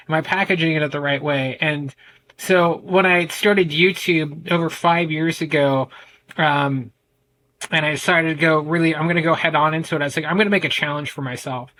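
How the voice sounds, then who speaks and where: A middle-aged American man with a loud voice, telling his story candidly in a conversational interview, with frequent restarts and fillers. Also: on the high side, low-quality recording. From United States. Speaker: guest, men's ADHD coach.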